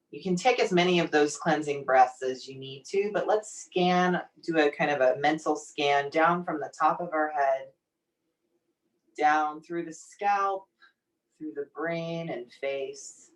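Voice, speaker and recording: A woman leading a guided meditation in a soothing, gently encouraging, unhurried manner. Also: low-pitched. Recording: distant microphone pickup.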